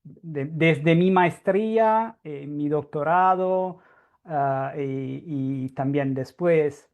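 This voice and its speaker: Slow, halting man with Italian accent. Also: fairly high pitch, darkish tone. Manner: halting, explanatory, frequent restarts. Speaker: guest lecturer, astrophysicist. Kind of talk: academic conference talk.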